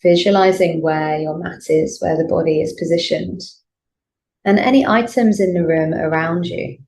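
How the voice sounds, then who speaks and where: Low-pitched woman guiding a meditation. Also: fairly even intonation. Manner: gentle, soothing, unhurried instruction with pauses. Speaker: yoga nidra teacher. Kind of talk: guided meditation. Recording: clear background noise, room ambience.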